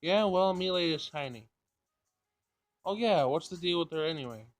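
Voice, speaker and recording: Higher-pitched man reading a game aloud. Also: lively intonation, normal speed. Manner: stumbles over words while reading. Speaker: gamer reading a visual novel aloud. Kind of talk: casual read-aloud narration and dialogue.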